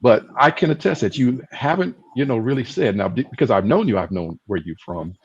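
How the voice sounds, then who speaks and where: A man moderating a panel discussion on a video call, asking thoughtful, halting questions with many fillers. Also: mid-range voice, animated, slightly rough. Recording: dull lo-fi video call audio.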